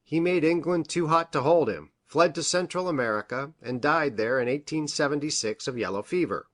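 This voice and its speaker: A man playing an earnest, anxious country doctor in a Victorian detective story, speaking formally and deliberately. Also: on the high side, lively intonation, a bit bright. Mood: earnest, worried. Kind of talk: dramatised reading of a Victorian detective novel.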